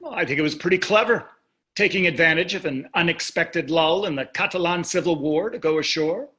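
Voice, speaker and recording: A man's voice: a little high, brisk, sharp tone. An actor reading the part of a blasé, privileged husband in his sixties in a staged play, with dry, dismissive remarks.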